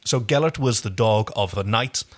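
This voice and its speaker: A man's voice: fairly low pitch, normal speed. A man co-hosting a podcast, chatting casually and enthusiastically about a TV episode, with wry asides. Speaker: podcast co-host. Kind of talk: casual chat recapping a TV episode.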